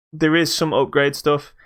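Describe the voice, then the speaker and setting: Smooth, clear-voiced man chatting casually. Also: fairly high pitch, fairly bright tone. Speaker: podcast co-host. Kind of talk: casual video game review chat.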